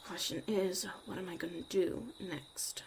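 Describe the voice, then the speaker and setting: Woman as tough, caring witch mom for ASMR roleplay; unhurried, muttered, near-whisper delivery, audible background ambience. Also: fairly low pitch, gravelly, a little hiss or hum. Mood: exasperated, muttering. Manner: muttering, often dropping to a whisper. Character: tough, foul-mouthed young witch mother, exasperated but caring.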